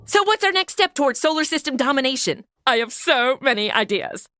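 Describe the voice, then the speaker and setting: A wisecracking, sarcastic young woman, played by an actress. Her voice is high and bright, with a lively lilt, recorded dry and close. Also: a little fast, dry recording, faint music behind, full character voice. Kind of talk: comedy audio drama acting.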